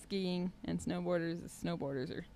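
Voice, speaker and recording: A woman's voice: lowish voice, fairly even intonation, normal speed, vocal fry, mellow, no room sound. A young woman making dry, brief replies in casual stage banter. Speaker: co-host and singer. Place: small curtained stage, indoors. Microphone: stage microphone on stand.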